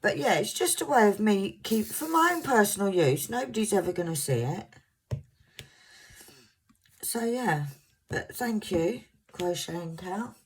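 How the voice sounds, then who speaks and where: A woman in her fifties, originally from Scotland, with a soft voice and a mixed English accent, chatting to viewers in a casual, rambling way and sometimes stumbling over words. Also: mid-range voice, lively intonation, unhurried, long pauses, a little hiss or hum. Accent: English, mixed southern. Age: 50s. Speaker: crafting vlogger.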